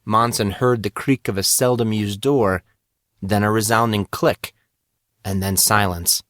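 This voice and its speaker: A man's voice: lowish voice, fairly even intonation, unhurried, fairly bright tone, no room sound, studio quality. A man reading scripted fantasy fiction aloud as an audiobook narrator.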